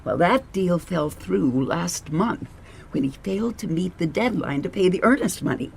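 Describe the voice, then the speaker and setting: A man playing an eager, inquisitive office assistant to a detective in a radio mystery, with a creaky voice. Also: high-pitched, animated, normal speed, full character voice. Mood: excited, revealing. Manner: inquisitive, keen. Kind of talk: radio mystery drama acting.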